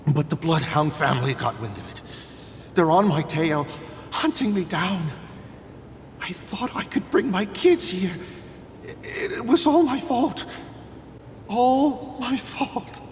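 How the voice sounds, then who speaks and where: An actor playing a desperate, guilt-ridden fugitive father in a video game, halting and stammering as he begs forgiveness. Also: lively intonation, full character voice. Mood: anguished. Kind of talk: dramatic game voice acting. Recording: long hall reverb, faint rumbling noise.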